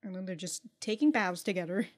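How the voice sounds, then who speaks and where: A woman's voice: mid-range voice, fairly quick. A woman giving casual reaction commentary as a video host, blunt and jokey, with slangy, sweary talk.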